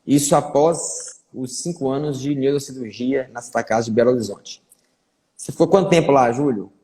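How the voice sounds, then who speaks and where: This is a man hosting a casual live stream with a fellow doctor. His voice is mellow and unhurried, and he keeps dropping in small conversational tags. The sound carries a long, echoing reverb. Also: mid-range voice. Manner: chatty, repeats fillers like "né". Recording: echoey room with long reverb.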